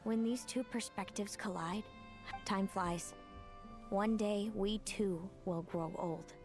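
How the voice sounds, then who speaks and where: A mid-pitched female voice from an actress plays a gentle sister in a fantasy game. She is solemn and philosophical, bearing sorrow in measured phrases. Music sits behind her. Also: lightly acted. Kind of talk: scripted game voice acting.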